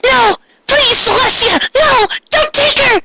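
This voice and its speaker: A man voicing distraught teenage girls from a fan fiction story in a wailing, pleading falsetto. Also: slow pace, pushed and bright, dry recording, heavily acted, low-quality recording. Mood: wailing despair. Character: distraught teenage girls, tearful, melodramatic heroines. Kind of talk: over-the-top fan fiction dramatic reading.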